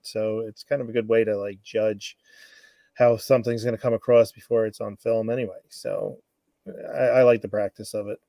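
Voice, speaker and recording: Man, lowish flat pitch, heavy vocal fry, casual rambling talk, reflective, dry sound. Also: moderate pace, dry recording.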